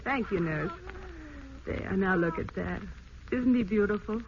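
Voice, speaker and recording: An actress playing a kindly, businesslike child placement worker, reassuring and matter-of-fact, in 1940s radio drama style. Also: female voice, mid-range voice, measured pace, natural voice. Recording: muffled, noisy old radio broadcast.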